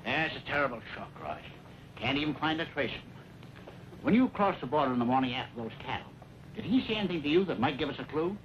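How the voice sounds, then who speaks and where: Proud old lawman, animated voice. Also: fairly high pitch, quick pace, slight background noise. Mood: worried, earnest. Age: older. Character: proud, stubborn old ex-marshal, loyal anguished father. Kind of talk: old western radio drama acting. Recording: old muffled radio-era recording.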